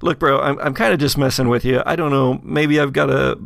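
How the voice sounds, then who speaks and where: A man's voice: medium pitch, fairly even intonation, fairly quick, gravelly, a bit bright, dry recording, clean studio sound. A man voicing a laid-back dead bro, a talking severed head, with slangy, flippant and easygoing delivery in a tabletop game. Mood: teasing.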